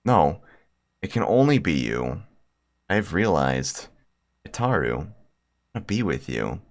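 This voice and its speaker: A man reading the part of a solemn, devoted high-school girl in a visual novel, halting and pleading. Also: big pitch swings, full character voice. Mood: earnest pleading. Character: lovelorn, formal high-school girl, quietly devoted and tragic. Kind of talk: visual novel dialogue read aloud.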